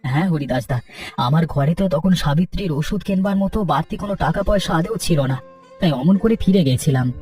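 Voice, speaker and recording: A man's voice: fairly high pitch, animated, fairly quick, darkish tone, close to an everyday voice. A man voicing a humble, earnest and grateful poor rickshaw puller in a cartoon. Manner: earnest, deferential. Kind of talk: dubbed cartoon dialogue. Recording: distant-sounding, music underneath.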